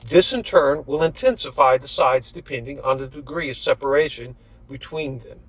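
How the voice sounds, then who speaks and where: A man's voice: mid-range voice, normal speed, no echo, rough recording. Dry-sounding male author narrating. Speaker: author reading own novel. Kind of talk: scripted audiobook narration.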